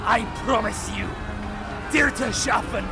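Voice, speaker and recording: A fierce, defiant knight captain in a fantasy audio drama. His voice is very high for a man, with a gravelly edge, delivered in slow, commanding oratory over music. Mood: rousing, defiant. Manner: commanding, declamatory battlefield oratory.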